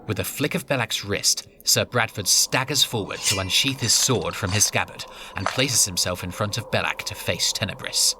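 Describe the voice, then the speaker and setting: An actor as the composed storyteller of a fantasy audio drama, reading scripted narration. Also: male voice, lowish voice, normal speed, hardly any pauses, bright, forceful tone, slight background noise. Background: music.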